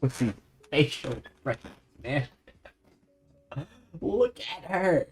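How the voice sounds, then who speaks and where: A man's voice: on the high side, very animated, slow pace. A young man giving casual, amused playthrough commentary as a gaming streamer, full of slang and swearing. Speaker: gaming streamer reacting to a game. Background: faint game music.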